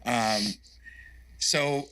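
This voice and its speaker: A man introduces himself on a conference panel with a wry, relaxed manner. His voice is bright and forward, and the sound is dry and close. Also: medium pitch, extremely slow, smooth, no room sound, low-quality recording. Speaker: panelist, magazine editor-in-chief. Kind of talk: self-introduction on a trade panel.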